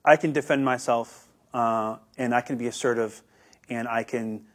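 A man's voice: medium pitch, fairly even intonation, normal speed. A young man giving earnest, hesitant interview answers as a guest, often repeating words as he starts. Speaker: guest, YouTuber.

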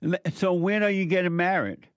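A man's voice: sits high, moderate pace, dry recording. A man in his seventies hosting a call-in radio show, giving blunt, preachy advice to callers. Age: 70s.

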